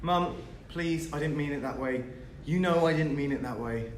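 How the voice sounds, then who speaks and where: A man's voice: on the high side, normal speed, natural voice. Casting: withdrawn, put-upon teen boy played by a man, tired pleading manner, roomy recording, faint noise. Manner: weary, pleading, subdued. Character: beleaguered, withdrawn teenage schoolboy. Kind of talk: script table read. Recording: echoey room with background noise.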